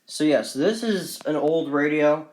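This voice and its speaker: A man's voice: fairly high pitch, measured pace, darkish tone. A man presenting his hobby collection in a casual, unscripted vlog, talking in a rambling, repetitive and enthusiastic way.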